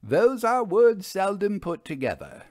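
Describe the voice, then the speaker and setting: A man voicing a brisk, skeptical woman investigator in a storybook, with blunt, formal and dryly knowing speech. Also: high-pitched, big pitch swings, darkish tone, dry recording, clean studio sound, voice pushed up. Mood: wry. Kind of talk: dramatized audiobook storytelling.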